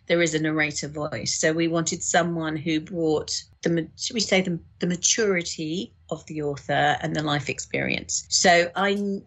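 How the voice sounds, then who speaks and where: Female podcast guest, slightly low voice, casual and explanatory, production professional. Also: slightly rough. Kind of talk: casual explanatory interview talk.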